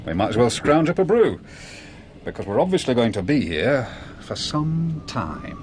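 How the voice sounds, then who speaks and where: An actor in a radio drama playing a burly, jovial van driver, blunt and needling, who relishes morbid tales. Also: male voice, medium pitch, big pitch swings, normal speed, lightly acted. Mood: wry, teasing. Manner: teasing, blunt, relishes gruesome storytelling. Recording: noisy old radio drama recording.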